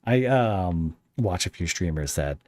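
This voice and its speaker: Podcast host, male, deep voice, close dry sound, casual and self-deprecating, wanders through his questions. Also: animated, measured pace, darkish tone, dry recording. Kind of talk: casual conversational interview.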